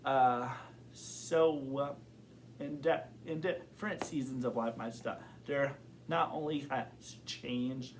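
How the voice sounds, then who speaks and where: A man's voice: mid-range voice, steady tone, slow, background noise. A young man who stutters, answering interview questions openly and thoughtfully, with many fillers and repeated words. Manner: thoughtful, open. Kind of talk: casual interview.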